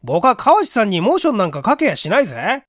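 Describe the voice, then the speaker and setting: A man voicing a young, flustered and gossipy apartment clerk in a story reading, with an excitable manner. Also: high-pitched, quick pace, dry recording, clean studio sound, voice pushed up, exaggerated voice. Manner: excitable, stammering when teased.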